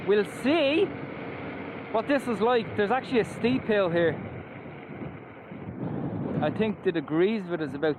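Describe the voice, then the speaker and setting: A man with an Irish accent chatting enthusiastically while riding an electric scooter outdoors, wearing a helmet and mask. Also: sits high. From Ireland. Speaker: scooter reviewer. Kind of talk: casual ride-along vlog commentary. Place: outdoors on a moving scooter by roads. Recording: outdoor road noise. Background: traffic and wind.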